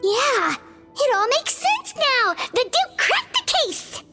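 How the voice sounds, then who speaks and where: Chirpy little sidekick. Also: female voice, extremely high pitch, slow pace, soft background music, full character voice. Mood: excited. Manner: excitable, bouncy. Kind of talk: video game dialogue.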